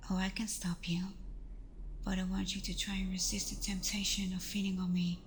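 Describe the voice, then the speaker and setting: A woman playing a gentle, protective vampire girlfriend in an ASMR roleplay, speaking softly and often close to a whisper, soothing and unhurried. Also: medium pitch, little pitch movement, a little hiss or hum, close to an everyday voice. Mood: firm, coaxing. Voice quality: soft, breathy, often near whisper.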